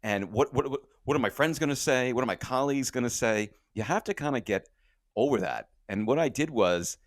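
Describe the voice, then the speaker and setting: A man talking as a guest on an interview podcast, energetic and casual, often repeating words as he starts a thought. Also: medium pitch, fairly quick, dry recording, clean studio sound. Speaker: podcast guest, company co-founder.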